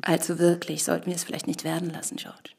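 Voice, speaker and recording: An actress playing a poised, playful married woman in a radio drama, gently mocking and coolly deflecting. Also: on the low side, little pitch movement, fast, creaky, slightly rough, full character voice. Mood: teasing, evasive. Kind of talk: German radio drama acting.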